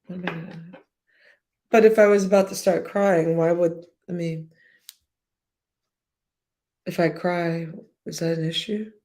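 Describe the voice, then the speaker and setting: A woman's voice: fairly low pitch, fairly even intonation, unhurried, pauses often, dark tone. A woman talking casually on a livestream, blunt and profane, with a slightly whiny-sounding voice, speaking straight to her viewers. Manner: blunt, profane, chatty, addresses chat directly. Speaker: YouTube live streamer.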